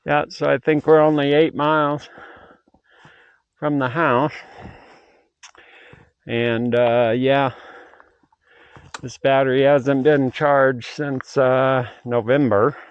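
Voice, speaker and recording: A man's voice: medium pitch, level tone, slow pace, pauses often, no echo. A middle-aged man chatting casually outdoors as he vlogs, rambling and musing aloud to himself. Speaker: vlogger and amateur radio operator. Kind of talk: casual outdoor vlog chat. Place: outdoors on a mountain bike trail. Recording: muffled outdoor audio with clear background noise.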